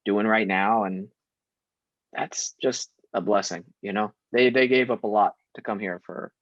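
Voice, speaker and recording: Young adult male guest, emphatic and animated, mid pitch, dry slightly muffled audio. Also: a little slow, no echo. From Syria. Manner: animated, emphatic, laughs often. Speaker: guest actor. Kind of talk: casual interview chat.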